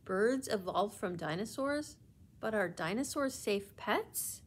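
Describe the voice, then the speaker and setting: A woman reading aloud as a patient female schoolteacher in a storybook, explaining things to children in a firm, measured way. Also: mid-range voice, lively intonation, breathy edge.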